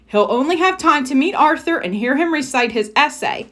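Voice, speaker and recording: A woman's voice: sits high, lively intonation, normal speed, fairly bright tone, voice pushed up. A woman voicing a patient, reassuring father in a children's storybook. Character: calm, reassuring father, cartoon animal. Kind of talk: children's storybook read-aloud.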